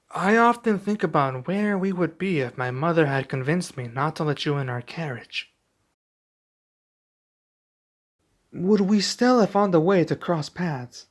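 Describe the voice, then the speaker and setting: A man playing a young king and doting expectant father in an intimate ASMR roleplay, speaking softly and affectionately with some hesitation. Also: a little high, natural voice. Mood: reflective, tender. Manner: soft, affectionate, hesitant delivery.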